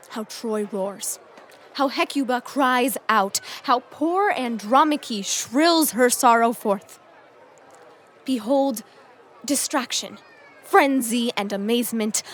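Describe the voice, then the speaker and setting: A woman's voice: a little high, a bit bright. A woman playing a grief-stricken young prophetess foretelling doom in a Shakespearean audio drama.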